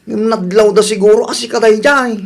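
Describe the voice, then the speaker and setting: A man's voice: sits high, fairly even intonation, moderate pace, vocal fry, pitched above the performer's own voice. A man voicing a gossipy, mocking male buddy in a comedy radio drama. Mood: teasing.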